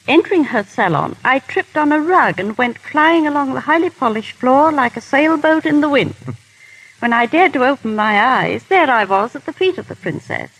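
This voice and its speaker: A woman with a high, very animated voice and a rough husky edge. She speaks formally and genteelly, telling stories as a guest on a vintage 1930s radio broadcast. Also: low-quality recording. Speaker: guest technical advisor and former royal dresser. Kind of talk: scripted 1930s radio interview, anecdotal.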